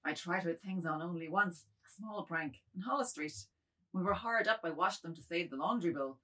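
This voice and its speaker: Woman acting meek middle-aged man, low husky voice, submissive, earnest, dramatic novel reading. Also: expressive, normal speed, pushed and bright, recorded from a distance, poor audio. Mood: sheepish confession. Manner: docile, earnest, apologetic.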